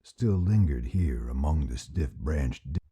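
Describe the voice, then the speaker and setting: A man voicing a dramatic audiobook storyteller with a put-on Southwestern United States accent and a deep, husky voice. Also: lively intonation, measured pace, mellow, exaggerated voice. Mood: theatrical, hushed drama. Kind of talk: theatrical audiobook narration.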